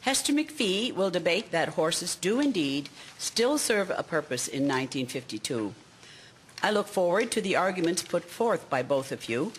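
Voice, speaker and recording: A woman playing a high school teacher leading her class in a radio drama. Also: very animated, slight background noise. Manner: brisk classroom authority.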